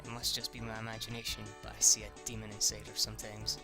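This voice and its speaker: A man's voice: lowish voice, completely flat delivery, fast, creaky. A man reading a visual novel aloud as dramatic narration, an amateur who stumbles over words and restarts. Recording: music playing behind the voice.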